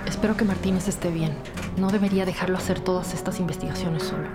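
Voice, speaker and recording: A woman's voice: fairly low pitch, fairly even intonation, quick pace, lightly acted. A woman playing a firm, no-nonsense police detective in a scripted crime audio drama. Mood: concerned. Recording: music bed under the voice. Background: dramatic music.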